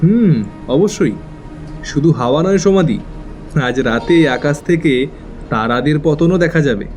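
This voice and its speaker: An actor playing a calm, cold, quietly menacing schemer in an audio thriller. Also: male voice, on the high side, measured pace. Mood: cold, calm menace. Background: music.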